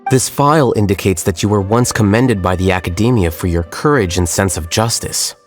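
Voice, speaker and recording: An actor playing a calm, composed male scholar speaking in a measured way. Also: on the low side, faint music behind, lightly acted. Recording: game soundtrack behind.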